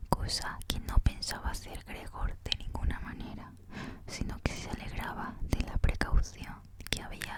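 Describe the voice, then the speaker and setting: Female ASMR reader. Constant breathy whisper, gentle and unhurried, reading prose aloud. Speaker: reader, host of a reading series.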